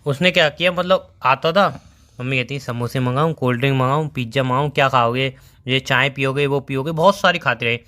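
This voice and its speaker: A man's voice: medium pitch, a little fast, sharp tone, close to an everyday voice. A young man playing a bantering, evasive boyfriend who explains himself casually in a phone-call skit. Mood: explaining, storytelling. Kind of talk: casual scripted couple phone call in Hindi.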